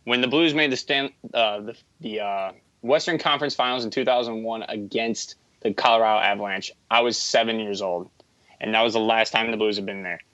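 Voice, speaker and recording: A man's voice: lowish voice, steady tone, brisk, fairly bright tone, no echo, dull audio. A man in his early twenties co-hosting a casual sports podcast, talking in a rambling, self-correcting, enthusiastic way. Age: early 20s. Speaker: podcast co-host.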